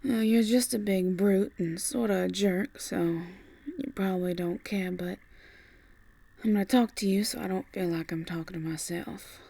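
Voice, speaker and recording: A woman playing a tender, worried Southern US farmer sweetheart in an audio roleplay, in a breathy, husky voice that is often near a whisper, with a soft, halting drawl. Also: medium pitch, dry recording. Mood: wistful, worried. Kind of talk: ASMR audio roleplay acting.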